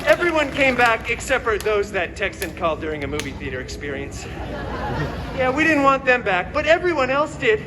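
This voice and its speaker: Guy doing a super high cocky wisecracking antihero telling a fairy tale, sarcastic, live stage show with crowd noise outside. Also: big pitch swings, normal speed. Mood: boastful, sarcastic. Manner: sarcastic, self-mocking asides. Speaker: costumed character performer hosting live story show. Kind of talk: theatrical comedic storytelling to a live audience. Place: outdoor theme park show, filmed from audience. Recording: noisy outdoor crowd recording of live show.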